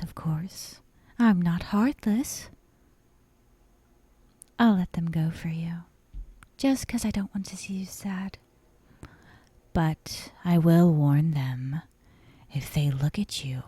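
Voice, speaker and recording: A woman voicing a possessive, dominant young yandere kidnapper in an ASMR roleplay, softly coaxing and sweetly menacing, often slipping into whispers. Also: lowish voice, dry recording, full character voice. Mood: cold, controlling. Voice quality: soft, breathy, often dropping into whispers. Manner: slow, teasing, coaxing with veiled threats. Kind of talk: ASMR roleplay, intimate monologue to the listener. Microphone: close, dry microphone.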